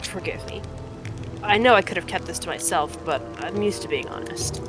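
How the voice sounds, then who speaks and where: A woman voicing a frank, earnest young horned male fighter in a fantasy game, in a measured, conversational way. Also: fairly low pitch, over music, lightly acted. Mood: earnest, apologetic. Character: earnest young horned mongrel man, frank, wry cave-dwelling fighter.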